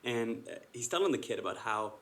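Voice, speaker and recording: A man's voice: medium pitch, lively intonation, brisk, a bit bright, natural voice. A man acting a hesitant, self-doubting army officer who speaks casually and ramblingly in a naturalistic stage play. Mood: storytelling. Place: indoor performance space. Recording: live stage video with background noise.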